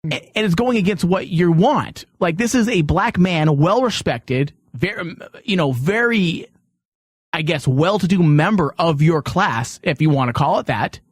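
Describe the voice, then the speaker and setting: A male talk host with a fairly high voice speaks in a casual, storytelling style. He is opinionated and swears offhandedly. The sound is close and dry. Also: lively intonation, a little slow, no echo.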